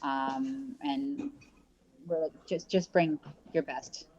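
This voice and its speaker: A woman with a notably low voice talks casually as a guest, full of ums and restarts. She is joining over headphones on a remote call, and the audio is dull and lo-fi. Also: slow pace, a little mellow, a little hiss or hum. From Brazil. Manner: chatty, many fillers and restarts. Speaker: real estate agent, guest.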